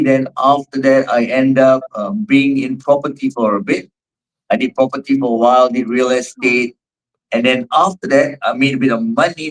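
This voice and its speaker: A man's voice: mid-range voice, poor audio. Singaporean man in his forties. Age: 40s. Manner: rambling, many fillers and restarts. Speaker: guest, fitness trainer and gym owner. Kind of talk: casual interview answers.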